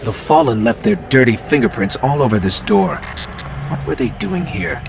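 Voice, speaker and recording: A man voicing a small floating robot companion in a video game, earnest, wry and quick-witted, with a light robotic filter. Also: mid-range voice, sing-song, gravelly, noisy background. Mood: wry, curious. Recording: loud game music behind voice.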